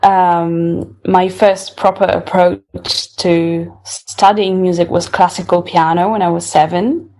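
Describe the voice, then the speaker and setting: A woman's voice: on the low side, little pitch movement, slow pace, few pauses, a little mellow. A young woman from Italy, a singer-songwriter, speaking English with an Italian accent in a casual interview, thoughtful and often restarting her sentences.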